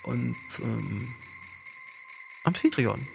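An actor playing a whiny, sneering tyrant in a radio play, with a nagging tone and theatrically stretched words. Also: male voice, mid-range voice, lively intonation, creaky, darkish tone, full character voice. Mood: sneering, haughty. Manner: theatrical, over-stressed final syllables, drawn-out words. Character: Lykos, whiny, sneering, taunting male tyrant. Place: home, online video call. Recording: noisy home recording.

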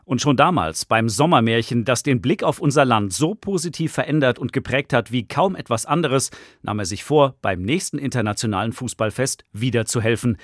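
A man narrates a radio news feature in an upbeat, measured read. His voice sits in the middle range. The recording is dry and close. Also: mid-range voice, animated, no room sound. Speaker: reporter.

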